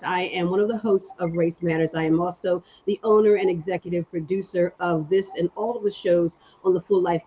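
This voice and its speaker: A woman's voice: on the low side, a little mellow, breathy edge, lo-fi sound. A woman hosting and producing a live online panel show, chatty with lots of fillers, speaking over a video call. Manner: friendly, chatty with fillers, multitasking. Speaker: host and executive producer.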